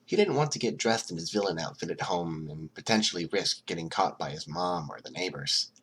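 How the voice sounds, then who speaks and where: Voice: low-pitched, animated, brisk, fairly bright tone, a little hiss or hum. An amateur audiobook narrator reading fiction expressively.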